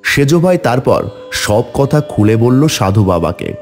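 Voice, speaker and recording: Animated male storyteller, mid-pitched voice. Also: a little slow, faint music behind. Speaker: story narrator. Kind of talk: scripted dramatic storytelling narration.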